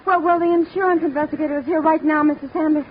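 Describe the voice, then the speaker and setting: A woman's voice: sits high, fairly even intonation, fast, lightly acted. An actress playing a polite, easily flustered female office assistant, prim and earnest, in 1940s radio acting style. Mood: alarmed, fluttery. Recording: noisy, dull vintage radio recording.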